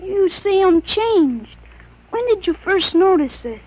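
A woman voicing a thirteen-year-old boy with a grown man's mind, speaking calmly and precisely in a 1940s radio drama. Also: extremely high pitch, slow, exaggerated voice. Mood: earnest. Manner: calm, precise, matter-of-fact. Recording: dull lo-fi old radio recording.

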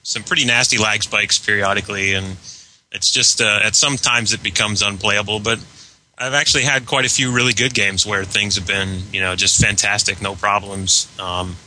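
A man from the United States chatting casually as a tech-minded podcast guest, explaining things in a hesitant, measured way. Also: on the low side, steady tone, sharp tone, a little hiss or hum, rough recording. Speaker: guest and server admin. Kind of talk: casual technical chat.

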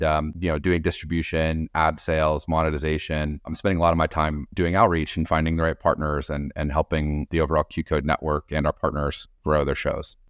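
A man's voice: low voice, clean tone, dry recording, studio quality. A middle-aged man giving conversational, explanatory answers as a business executive being interviewed. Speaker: podcast industry executive interviewed as guest.

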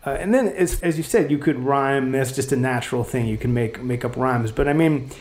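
A man hosting a casual interview, putting questions in a halting, conversational way and rephrasing as he goes. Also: mid-range voice, darkish tone. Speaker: interviewer, podcast host.

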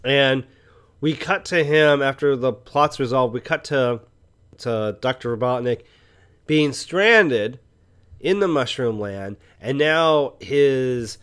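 A man's voice: medium pitch, slow, a bit bright, smooth. A man co-hosting a podcast, explaining his points about movies in a casual, conversational way. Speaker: podcast co-host. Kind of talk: casual movie discussion chat.

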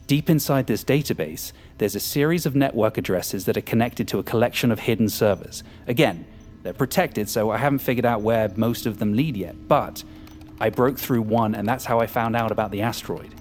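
An actor playing an arrogant, power-hungry hacker in an audio drama, with a smug, cynical and sardonic manner. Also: male voice, fairly low pitch, a little fast, faint music behind, close to an everyday voice. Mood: confident, explaining. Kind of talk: audio fiction acting.

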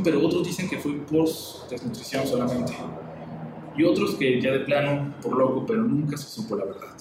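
A man with a Mexican accent reads a scary story aloud with slow, dramatic pacing, as a podcast guest. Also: medium pitch, noisy background. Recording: echoey room, mic far off.

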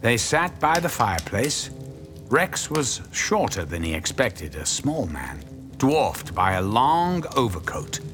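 A man's voice: medium pitch, big pitch swings, slow. A man playing the storyteller of a suspenseful horror audio drama in scripted dramatic narration. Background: music.

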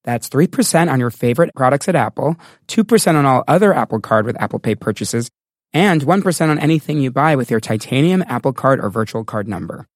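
A man reading a scripted advertisement with a smooth, even sales delivery. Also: mid-range voice, a little fast, dry recording, clean studio sound. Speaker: ad announcer. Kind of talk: scripted ad read.

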